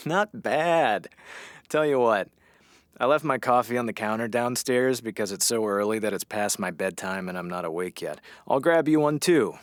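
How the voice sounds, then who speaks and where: A man's voice: medium pitch, expressive, unhurried, no room sound, clean studio sound, close to an everyday voice. A man playing a wry, loyal radio station colleague in a comedy audio drama, with a teasing, sardonic manner.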